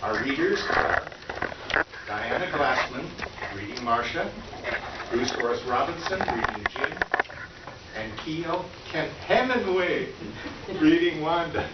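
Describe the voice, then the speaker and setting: A man's voice: a little high, animated, slow pace, long pauses. A man announcing the cast of a stage reading in a formal introduction. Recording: distant, echoey, dull, very noisy hall recording.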